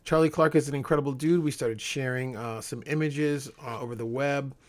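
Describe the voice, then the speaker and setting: A man from Chicago hosting his first podcast, talking in a relaxed, upbeat way with plenty of fillers. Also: medium pitch, brisk. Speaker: podcast host. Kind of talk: casual podcast intro and interview.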